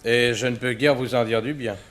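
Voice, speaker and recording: An actor playing a spiteful, gossipy country neighbour in a 1960s French radio drama. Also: male voice, mid-range voice, fairly even intonation, moderate pace, fairly bright tone, close to an everyday voice. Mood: scornful.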